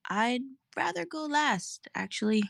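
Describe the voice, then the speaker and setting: A woman actor reading a shy, hesitant character in a halting voice. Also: high voice, expressive, vocal fry, fairly bright tone, no echo, clean studio sound. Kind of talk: dramatic script reading.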